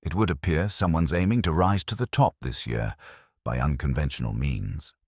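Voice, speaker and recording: A man voicing a mild, unhurried police inspector in a mystery story, soft, understated and quietly ironic. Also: extremely low pitch, dry recording, studio quality. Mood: mild irony.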